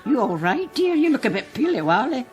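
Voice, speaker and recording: A woman's voice: high-pitched, big pitch swings, brisk, a little mellow, soft background music. An actress playing a chatty, fussing older mother who runs a guesthouse, in a radio drama with a Scottish accent. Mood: cheerful, fussing. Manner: homely, talkative.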